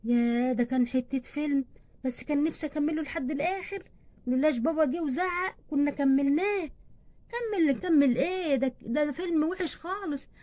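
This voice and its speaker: A woman's voice: sits high, fairly quick, dark tone, lightly acted. A woman voicing a bossy schoolgirl older sister in doll play, chattering and scolding with an Egyptian accent. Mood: uneasy.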